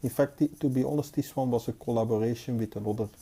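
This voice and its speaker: Mellow, dark-voiced Belgian man reviewing. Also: medium pitch, slightly rough. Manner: hesitant, halting, unscripted delivery. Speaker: knife collector and reviewer. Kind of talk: casual hands-on review commentary. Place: indoors, at a table.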